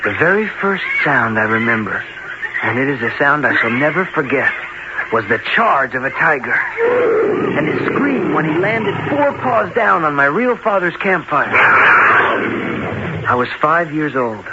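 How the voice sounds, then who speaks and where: A man's voice: on the high side, very animated, unhurried, heavy background noise. A man playing a grown jungle boy who narrates his childhood earnestly and vividly in an old-time radio drama. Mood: dramatic recollection. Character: grown Mowgli, earnest male storyteller recalling childhood. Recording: muffled vintage radio under loud music.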